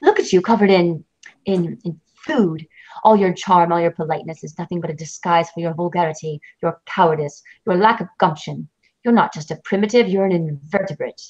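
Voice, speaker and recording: A woman's voice: on the low side, a little fast, darkish tone. Woman playing an icy, condescending wife. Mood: contemptuous. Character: cold, cutting ambitious lawyer wife. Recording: dull lo-fi recording.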